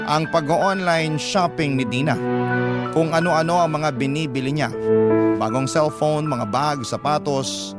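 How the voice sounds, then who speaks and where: A man with a Filipino accent playing a reflective, frugal boyfriend, narrating his love story in a measured, confessional way for a radio drama. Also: medium pitch, close to an everyday voice. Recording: music bed under the voice.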